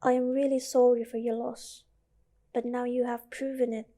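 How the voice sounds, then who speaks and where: A woman's voice: on the high side, flat delivery, normal speed, lightly acted. A young woman with an Indonesian accent playing a sincere, newly recruited student in an amateur radio drama. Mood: sympathetic. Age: young adult. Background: loud music.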